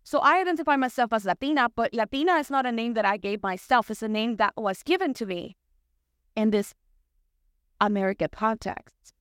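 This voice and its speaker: A woman's voice: a little high, brisk, a bit bright, no echo, clean studio sound. Salvadoran woman speaking to a church. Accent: Salvadoran Spanish. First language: Spanish. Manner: conversational, with frequent "like" and "right?". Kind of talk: personal talk to a congregation. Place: church sanctuary.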